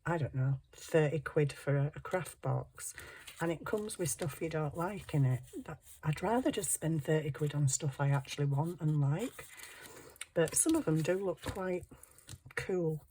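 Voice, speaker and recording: A hoarse middle-aged woman chatting casually to herself while crafting, muttering and poking fun at herself. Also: low voice, unhurried, dark tone, slight background noise, poor audio. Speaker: craft channel host.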